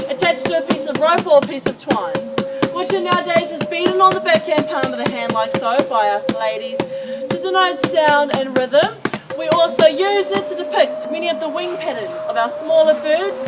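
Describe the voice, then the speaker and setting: A New woman demonstrates a traditional craft and explains it to visitors. She often checks in with "okay?" Her high voice comes from a distance, and loud noise runs underneath. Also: animated, moderate pace. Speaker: cultural performer and guide.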